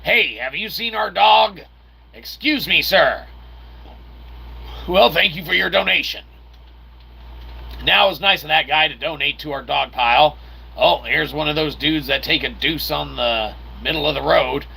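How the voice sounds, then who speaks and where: Gravelly man doing playful game commentary. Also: fairly high pitch, a little slow, pauses often, pushed and bright. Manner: wordy, mock-formal phrasing with playful sound effects. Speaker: host of a gameplay video channel. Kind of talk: casual game commentary with running jokes.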